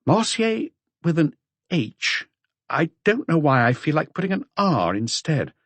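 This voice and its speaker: Man acting a higher-voiced, inquisitive magistrate. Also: very animated, a little slow, no room sound, full character voice. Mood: businesslike. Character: male examining magistrate, formal, inquisitive, cooperative.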